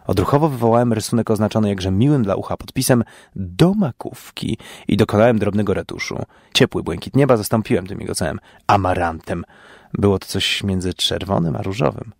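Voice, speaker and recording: A man's voice: deep voice, fairly quick, creaky, no room sound, clean studio sound. A man reading first-person fiction in a dramatic storytelling style. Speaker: storyteller, audio show host.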